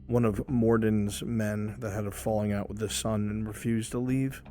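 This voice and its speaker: A man runs an online roleplay as game master, setting scenes aloud. His voice is low and mellow. There is faint music behind him. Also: flat delivery, normal speed.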